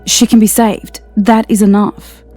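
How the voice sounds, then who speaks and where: A man's voice: high-pitched, level tone, a little slow, a little mellow, soft background music, pitched above the performer's own voice. A man voicing a regal female princess who gives cold, stern commands in an audiobook reading. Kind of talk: fanfic audiobook character voice.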